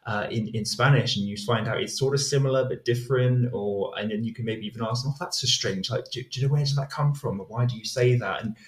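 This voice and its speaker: A man's voice: mid-range voice, quick pace, few pauses, roomy sound. A man, an English teacher, talking casually and reflectively in a podcast interview, with plenty of fillers and restarts. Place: lives in Spain.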